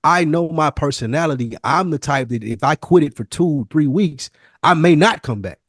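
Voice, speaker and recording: A man with an American accent and a somewhat high-pitched voice talks casually on a podcast. The sound is dry, with hardly any room to it. He is candid and emphatic, with informal slang. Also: expressive, normal speed, no room sound. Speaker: podcast host.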